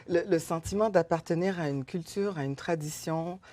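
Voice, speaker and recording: A woman's voice: on the low side, normal speed. Reflective middle-aged woman interview guest. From Canada, Haitian-born. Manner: reflective, frequent fillers and soft laughs. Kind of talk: conversational interview.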